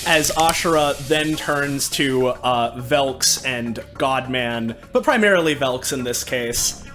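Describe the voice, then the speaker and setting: A man's voice: medium pitch, slow pace, fairly bright tone, soft background music. A man playing a tabletop game, chatting casually in a jokey, sweary manner. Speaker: player.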